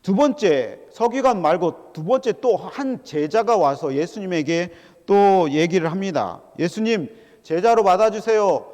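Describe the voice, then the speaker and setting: A middle-aged man preaching a sermon as a pastor, animated and acting out quoted voices, calling out to the congregation. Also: sits high, a little slow. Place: large church sanctuary. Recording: long hall reverb of a church.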